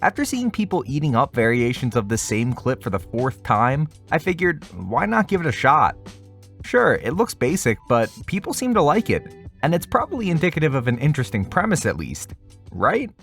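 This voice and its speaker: A man's voice: mid-range voice, huge pitch swings, normal speed, vocal fry, a bit bright, faint music behind. A man narrating a scripted review as host, with casual, wry and sarcastic asides.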